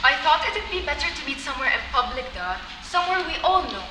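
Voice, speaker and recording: An actress playing an earnest, nervous college-age daughter in a comedy sketch, recorded in an echoey room. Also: female voice, high-pitched, very animated, quick pace, recorded from a distance. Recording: echoey room with clear noise.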